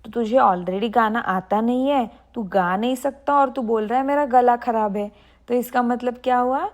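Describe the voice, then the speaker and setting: A young woman teacher explaining idioms to children, slowly repeating sentences and asking her pupils questions. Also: fairly high pitch, muffled recording.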